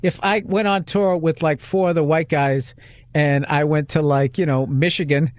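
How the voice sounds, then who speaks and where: A man co-hosting a comedy podcast, tossing out rapid jokes in casual banter. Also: fairly high pitch, steady tone, no room sound. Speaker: podcast co-host. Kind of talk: casual chat.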